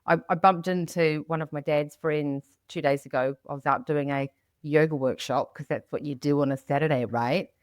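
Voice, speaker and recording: A woman's voice: sits low, steady tone, normal speed, no room sound, clean studio sound. A woman hosting a podcast in casual, chatty style, telling anecdotes and acting out what people said. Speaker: podcast host. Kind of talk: casual, chatty interview talk.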